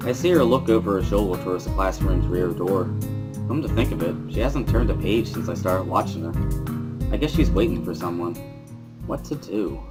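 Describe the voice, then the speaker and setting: A man with a low-pitched voice reads a visual novel in the first person. He narrates it as a dramatic reading, with music playing behind him. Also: animated, moderate pace.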